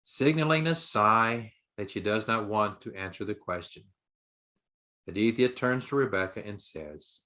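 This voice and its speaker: A man narrating a scripted story in a deliberate, dramatic audiobook style. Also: lowish voice, bright, forceful tone.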